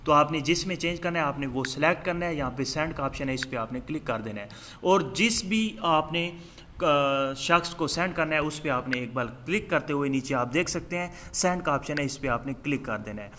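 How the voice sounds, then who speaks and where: A man with a very bright, forceful voice, pitched a little high, narrates a phone app tutorial. He speaks straight to his viewers as host and walks them through it step by step. The home-made recording has clear background noise. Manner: addresses viewers directly, instructional, step by step.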